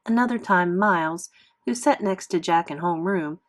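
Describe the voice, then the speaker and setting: A woman reads a children's novel aloud in expressive classroom read-aloud narration, like a teacher with a class. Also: fairly low pitch, moderate pace.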